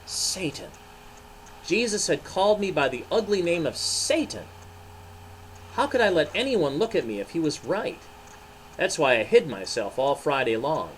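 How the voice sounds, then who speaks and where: A man's voice: a little high, sing-song, moderate pace, slight background noise, voice pushed up. A man reading a storybook as an anguished, ashamed male disciple, confessing emphatically.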